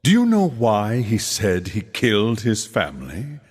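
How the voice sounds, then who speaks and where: An actor playing a mock-polite, gleefully macabre haunted-house guide in a horror game, with drawn-out theatrical narration. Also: male voice, on the low side, huge pitch swings, darkish tone, husky, exaggerated voice. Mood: sinister, playful. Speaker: attraction announcer. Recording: long hall reverb, faint noise.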